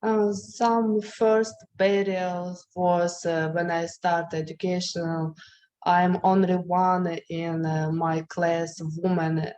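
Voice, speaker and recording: Woman, Ukrainian accent, non-native speaker, student panelist, slightly mellow voice, flat intonation, hesitant careful phrasing, faintly noisy video call. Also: fairly low pitch, takes it slowly. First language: not English. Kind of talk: semi-formal personal account.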